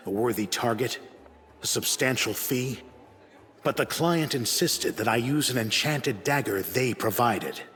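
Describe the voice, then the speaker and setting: An actor playing a proud, cool-headed assassin for hire in a fantasy video game, speaking formally and with measured condescension in a deep, dignified voice. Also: male voice, fairly bright tone, long reverb tail. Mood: wounded pride.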